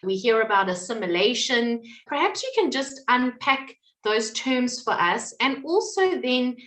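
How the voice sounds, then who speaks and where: A woman hosts an interview with a higher, bright voice. She asks friendly, leading questions and keeps the talk moving. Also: very animated, unhurried, poor audio. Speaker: host. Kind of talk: interview hosting.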